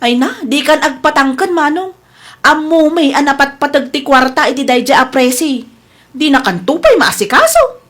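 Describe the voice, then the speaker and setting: An actress in a radio drama playing a pushy, scheming sister-in-law who talks in an insistent, coaxing way. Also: female voice, high voice, lively intonation, moderate pace, fairly bright tone.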